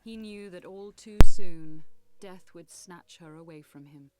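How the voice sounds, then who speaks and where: Actress as a solemn, low-voiced noble rebel woman. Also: very noisy, close to an everyday voice. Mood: mournful storytelling. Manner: formal archaic diction, measured storytelling. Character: solemn noble rebel woman, a visionary telling tragic history. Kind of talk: theatrical fantasy game acting.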